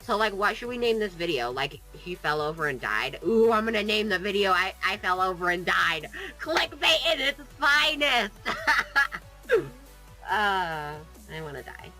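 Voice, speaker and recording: A very bright, sniffly voice from a vlogger fighting a cold, talking into a desk mic. The chat is playful and sarcastic and wanders from one aside to the next. Faint music plays underneath. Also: medium pitch, expressive, a little slow, low-quality recording. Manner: playful, jokey, sarcastic, drifts off topic. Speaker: gaming YouTuber. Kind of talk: casual rambling vlog chat.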